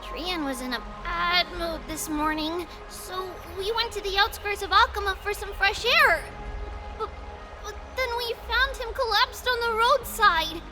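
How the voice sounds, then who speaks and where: A woman playing a cheerful little girl in a video game, talking in an eager, singsong, childlike way. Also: extremely high pitch. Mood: worried, earnest. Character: cheerful little girl who speaks of herself in third person. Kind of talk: voiced game dialogue.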